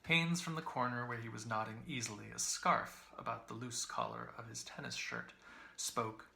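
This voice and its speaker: Guy reading a literary novel, careful and expressive, bright gravelly voice, roomy sound. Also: mid-range voice, moderate pace. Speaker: audiobook reader, English professor.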